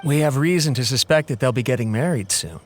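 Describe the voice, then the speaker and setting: A man's voice: medium pitch, quick pace, heavy background noise, close to an everyday voice. A man voicing a friendly young retainer in a video game, speaking in a polite, earnest and tactful way. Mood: speculative.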